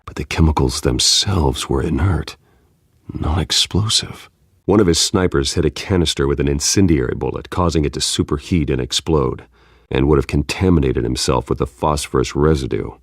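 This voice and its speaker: An actor playing a grim, menacing masked vigilante in a video game, terse and controlled, in a gravelly growl. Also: male voice, extremely low pitch, moderate pace, dry recording, clean studio sound, heavily acted. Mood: analytical, terse.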